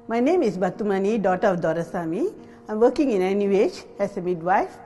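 A woman in her fifties talks plainly and frankly as a midwife at work. Clear music runs beneath her voice. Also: medium pitch, animated, fairly quick, warm tone. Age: 50s. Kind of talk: documentary interview.

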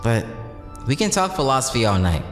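Male actor as young streetwise vigilante, mid-pitched, casual and earnest, radio drama in a reverberant hall with music. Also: sing-song, a little fast, natural voice. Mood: relaxed, sincere. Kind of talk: audio drama acting.

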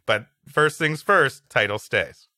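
A man's voice: mid-range voice, animated, slow, bright, forceful tone, no echo, studio quality. A man co-hosting a comedy podcast, bantering and reading stories. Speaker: podcast co-host. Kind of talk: comic banter and story reading.

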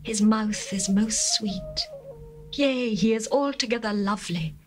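An actress playing a longing young bride, reading scripture in an earnest, heartfelt way over faint music. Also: female voice, a little high, unhurried, natural voice.

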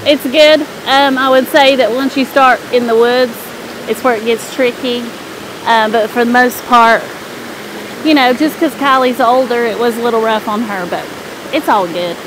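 A woman in her forties who joins a hiking vlog, talking calmly in short casual replies outdoors on a wooded trail, picked up by her partner's phone. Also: on the high side, background noise. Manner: slow and calm, brief replies. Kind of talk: casual chat on a hike. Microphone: phone camera held by her partner.

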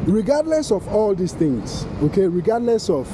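A man's voice: high voice, sing-song, moderate pace. A young Ghanaian man vlogging as he walks a city street, repeating himself and checking in with "you understand?" as he talks. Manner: repetitive, keeps asking "you understand?". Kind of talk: walking vlog monologue. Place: city street outdoors, walking. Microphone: handheld 360 camera. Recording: loud street and traffic noise. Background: passing traffic.

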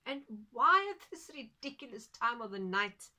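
A scoffing village farmer with a loud, raised, bright voice, incredulous and mocking, as a storyteller puts him on. He sounds exasperated at his stubborn friend, his voice pushed high with disbelief. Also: little pitch movement, moderate pace. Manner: incredulous, laughing loudly.

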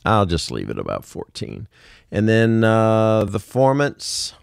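A man's voice: fairly low pitch, huge pitch swings, slow, gravelly. A man narrating a casual music production tutorial, explaining things informally step by step.

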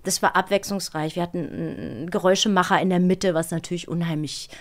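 An older woman, a voice actress, telling stories as a guest in a casual interview, with lively, emotional phrasing. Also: fairly low pitch, fast. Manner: expressive, storytelling, emotional.

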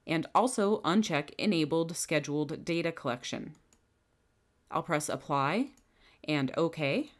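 A woman with a somewhat low voice narrates a scripted software tutorial as the trainer. She walks through each setting in a calm, methodical way, one click at a time. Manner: calm, methodical step-by-step instructions. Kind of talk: scripted screen-recording tutorial. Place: voice-over over a screen recording.

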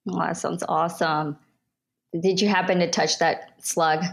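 Lower-pitched woman hosting a podcast. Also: steady tone, a little slow, gravelly. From Ecuador, grew up in North Carolina. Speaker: podcast host. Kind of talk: podcast introduction and conversation.

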